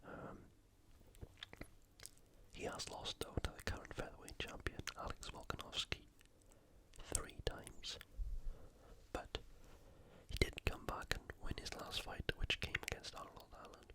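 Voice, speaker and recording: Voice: unhurried, pauses often. Whispering ASMR host. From United Kingdom. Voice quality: whispered, unvoiced. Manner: casual, conversational. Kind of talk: ASMR fight preview commentary.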